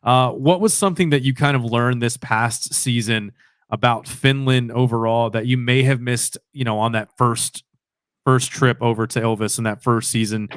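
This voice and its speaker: A man's voice: mid-range voice, steady tone, normal speed, smooth, dry recording, studio quality. A young man hosting a podcast from home, asking long, winding questions in a chatty way. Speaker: podcast host. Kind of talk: podcast interview. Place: home room with headset mic. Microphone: studio mic on stand.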